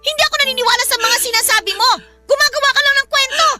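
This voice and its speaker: A woman's voice: extremely high pitch, very fast, sharp tone, faint music behind, exaggerated voice. An actress playing a stern, scornful middle-aged aunt who fiercely defends her son in a radio drama. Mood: indignant, defensive. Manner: scornful, accusing, dismissive. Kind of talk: radio drama dialogue in Tagalog.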